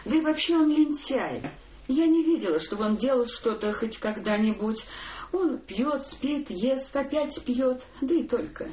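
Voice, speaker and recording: An actress playing a capricious, aggrieved diva wife, mannered and sing-song, with drawn-out words in a radio play. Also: female voice, high voice, animated. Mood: haughty complaint. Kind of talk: theatrical monologue, radio play. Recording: dull echoey recording over loud opera music.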